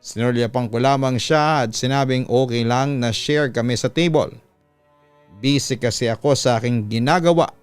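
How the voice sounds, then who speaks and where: A man with a Filipino accent reading a listener's letter as a radio-style storyteller, smooth and resonant, in a measured, deliberate way. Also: medium pitch, no echo. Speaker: radio announcer and story channel host. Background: background music.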